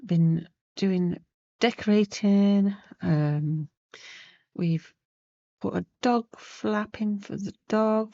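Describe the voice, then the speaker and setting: Woman host, sniffly, chatty craft tutorial; low, dry close-miked voice. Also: warm tone, no room sound, dull audio. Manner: chatty, rambling, loses her thread. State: sniffly with a starting cold. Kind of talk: casual sewing tutorial chat.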